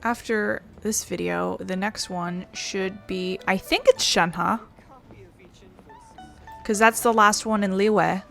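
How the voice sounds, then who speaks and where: A woman's voice: on the low side, vocal fry, fairly bright tone. A woman giving casual, rambling let's play commentary, thinking aloud as she goes. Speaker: gaming channel host.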